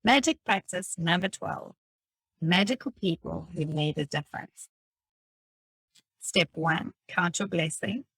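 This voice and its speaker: A woman reading a motivational self-help text aloud in a deliberate, instructive way. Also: fairly low pitch, animated, fairly bright tone, slightly rough, no echo, poor audio. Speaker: reader of a self-help book. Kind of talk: scripted read-aloud of a motivational audiobook text.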